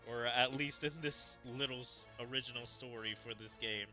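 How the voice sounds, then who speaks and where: A man's voice: medium pitch, fairly even intonation, moderate pace, sharp tone. A man giving casual, rambling commentary on a game's story as a streamer. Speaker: gaming streamer. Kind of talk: casual commentary on a game story, reading dialogue aloud. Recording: game music behind the voice. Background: game music.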